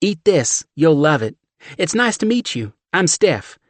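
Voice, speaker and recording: A man's voice: on the high side, big pitch swings, measured pace, dry recording, pitched above the performer's own voice. A man voicing a brash, flirtatious woman. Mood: brash flirty. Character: brash flirty Southern woman, loud and bold.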